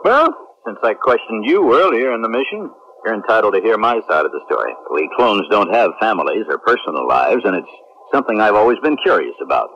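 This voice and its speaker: A man's voice: on the low side, sing-song, a little fast, fairly bright tone. A man acting an obedient, curious clone crewman in a 1970s radio drama, formal and deferential. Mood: polite, curious. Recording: muffled 1970s radio drama recording, faint noise.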